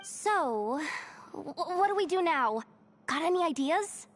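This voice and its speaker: Casting: a small childlike fairy companion for a game, squeaky and very high, animated, inquisitive. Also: female voice, slow pace, slight background noise, heavily acted. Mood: curious, eager. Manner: exclaiming, eager questioning. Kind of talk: video game cutscene voice acting.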